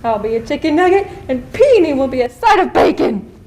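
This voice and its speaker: A woman's voice: high voice, very animated, fairly quick, warm tone. A woman voicing a panicky, scolding farm hen who stutters on her b sounds in a children's puppet show. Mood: doomful, scolding.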